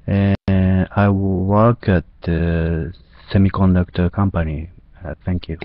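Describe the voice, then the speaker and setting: A Japanese-accented man, a native Japanese speaker, speaking haltingly as a student in a language class. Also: low-pitched, level tone. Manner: halting, hesitant. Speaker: English student. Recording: dull, lo-fi online call audio.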